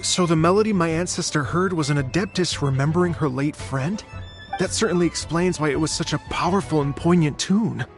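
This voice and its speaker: A man's voice: a little high, fairly quick, natural voice. Male game musician, voiced by a man: earnest and jittery, hesitant starts, fretful intensity, music playing behind. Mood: astonished. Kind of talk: video game character acting.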